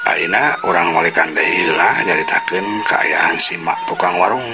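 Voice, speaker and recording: A man narrating a folk tale in a lively storytelling manner. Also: low voice, unhurried. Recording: music bed under narration. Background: background music.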